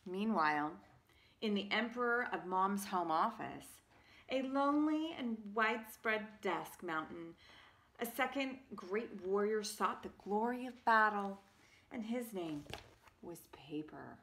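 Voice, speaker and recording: Female storybook reader, forties, bright and theatrical, slow delivery, some room noise on a phone. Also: medium pitch, lively intonation. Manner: theatrical, emphatic. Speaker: parent reading a picture book aloud. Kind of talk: expressive storybook narration. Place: carpeted home room with sloped ceiling. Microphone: phone at arm's length.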